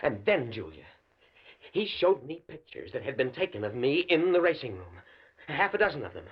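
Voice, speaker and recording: An actor playing a nervous, principled professor, hesitant and earnest, in the style of a 1940s radio thriller. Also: male voice, medium pitch, very animated, brisk, husky, soft background music. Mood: distressed. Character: nervous, principled male college professor being blackmailed. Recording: dull, lo-fi old radio recording.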